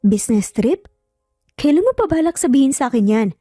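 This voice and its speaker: A woman's voice: high-pitched, very animated, a little fast, dark tone, dry recording, studio quality, faint music behind, pitched above the performer's own voice. A woman voicing an anxious, apologetic young wife who speaks politely and hesitantly in an audio story.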